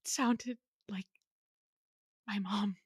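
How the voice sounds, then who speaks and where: An actress playing a shaken, grieving young woman in a horror audio drama, speaking in a halting, breathy way. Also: medium pitch, fairly even intonation, dry recording, studio quality, full character voice. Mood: shaken, grateful.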